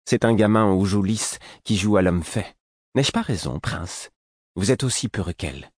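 Man voicing a taunting, brash young woman, pitched up. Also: very animated, moderate pace, darkish tone, no echo, clean studio sound. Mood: mocking. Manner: taunting, sly. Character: mocking young woman, brash, malicious rival.

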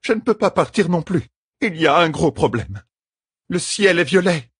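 A man's voice: on the high side, sing-song, moderate pace, a bit bright, slightly rough, no echo, studio quality, voice pushed up, full character voice. A man voicing anxious, shaken women of a mystical sisterhood in an audiobook, with alarmed urgency.

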